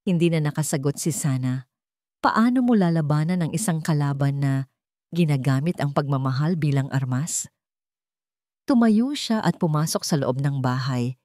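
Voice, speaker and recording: A woman with a Filipino accent narrating a scripted story in a measured, solemn, melodramatic way. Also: low-pitched, mellow, no echo, clean studio sound.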